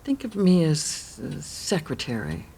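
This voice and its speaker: Woman as a very husky, kindly old widow. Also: deep voice, wildly swooping pitch, measured pace, warm tone, heavily acted. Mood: calm, cryptic. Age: older. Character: kindly, cryptic elderly widow, guardian secretary of Death. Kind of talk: audio drama acting.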